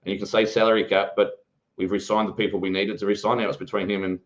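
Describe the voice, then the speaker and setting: A man with an Australian accent talking about his team as a podcast guest, rapid and full of opinions. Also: on the low side, level tone, lo-fi sound. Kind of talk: casual sports chat.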